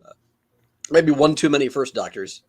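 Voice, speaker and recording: A man's voice: on the high side, lively intonation, moderate pace, breathy edge. A man on a panel chatting informally and giving his opinions as a fan. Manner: informal, conversational. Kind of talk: casual, opinionated fan chat.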